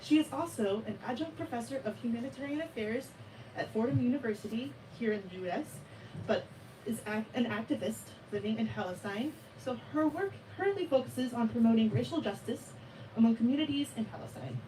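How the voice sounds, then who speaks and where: A woman hosts a bookstore event and reads out a formal introduction, now and then hesitating over words. Her voice is fairly high and mellow. She sits away from the mic, with faint noise behind her. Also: lively intonation, a little fast, recorded from a distance, rough recording. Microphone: video call.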